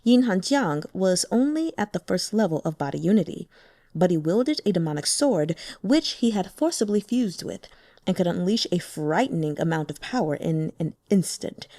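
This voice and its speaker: A woman reading a translated fantasy novel aloud as an audiobook narrator, expressive and voicing the characters. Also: lowish voice, moderate pace, no room sound, clean studio sound.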